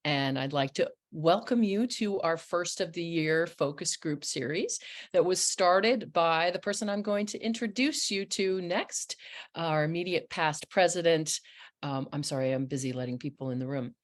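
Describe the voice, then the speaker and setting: A woman's voice: on the low side, moderate pace. A woman moderating a panel discussion over a video call, reading agreements and introducing guests with small apologetic asides.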